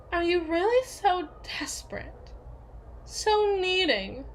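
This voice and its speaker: A woman playing a domineering, conniving young woman, possessive and jealous, in a theatrical, sardonic ASMR roleplay monologue with haughty, drawn-out emphasis. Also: high voice, a little hiss or hum. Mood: taunting, possessive.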